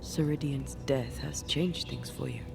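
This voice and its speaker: An actress playing a ghost woman detached from life yet devoted to her lover, speaking in a measured, hushed, solemn way in video game dialogue. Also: rumbling low, fairly even intonation, creaky, full character voice. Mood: resolute, searching. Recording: music under the voice.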